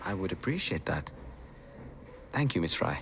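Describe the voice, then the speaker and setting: An actor playing a shy, courteous, bookish man in a video game, speaking formally and gently. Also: deep voice, very animated, normal speed, gravelly, a little hiss or hum, heavily acted. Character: polite, shy, bookish male assassin mentor. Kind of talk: video game cutscene acting.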